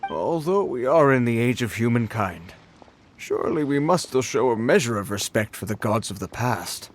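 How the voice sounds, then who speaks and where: Solemn, reverent townsman of medium pitch acted. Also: lively intonation, moderate pace, a little hiss or hum, lightly acted. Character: solemn, reverent male citizen defending old gods. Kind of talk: anime-style video game voice acting.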